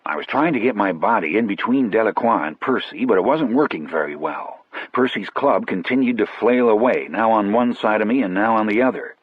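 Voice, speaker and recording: A man's voice: on the low side, lively intonation, brisk, slightly rough, dry recording, phone-quality sound. A man narrating an audiobook in the first person, as a storyteller.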